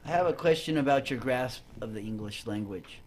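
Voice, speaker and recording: A man's voice: medium pitch, animated, fairly quick, slight background noise. Jokey rambling male radio host. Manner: jokey, rambling with false starts. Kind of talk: casual radio interview.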